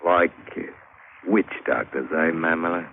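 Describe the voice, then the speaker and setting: A man's voice: very deep voice, unhurried, vocal fry, exaggerated voice. An actor playing a roguish, crafty working-class British adventurer who spins his yarn colloquially in an old radio drama. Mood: gruff, uneasy. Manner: colloquial, wry storytelling with folksy grammar. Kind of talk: old-time radio drama narration and dialogue. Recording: tinny, hissy old radio recording.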